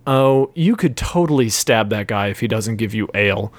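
A man voicing a small male demon who speaks calmly and amiably while egging others on. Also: lowish voice, moderate pace, no room sound, natural voice. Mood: sly.

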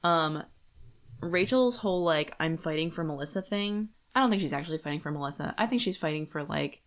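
A woman's voice: low voice, lively intonation, brisk. A woman co-hosting a podcast, discussing a book casually and reasoning her points through in a conversational way. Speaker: podcast co-host.

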